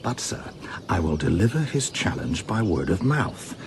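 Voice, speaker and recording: An actor playing a boisterous, scheming knight in a Shakespearean comedy, speaking in a booming, mocking manner. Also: male voice, big pitch swings, normal speed, noisy background. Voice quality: booming and mocking, with hearty laughter. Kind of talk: Shakespearean stage acting.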